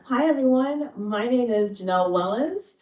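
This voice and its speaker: A woman from New Jersey introducing herself on a panel in a clear, composed way. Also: on the high side, expressive, normal speed. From New Jersey, United States. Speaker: panelist, flight director. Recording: echoey room, distant dull mic.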